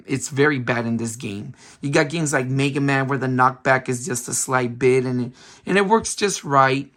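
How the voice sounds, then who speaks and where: A man with a hoarse voice, still recovering from a cold, hosting a casual game review with lots of ums and restarts. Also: mid-range voice, sharp tone. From San Francisco Bay Area. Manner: chatty, many ums, restarts himself. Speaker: retro game collector channel host.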